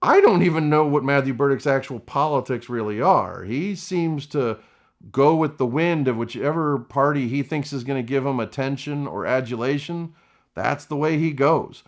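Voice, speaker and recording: A man giving vlog commentary with emphatic, deliberate phrasing. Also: medium pitch, expressive.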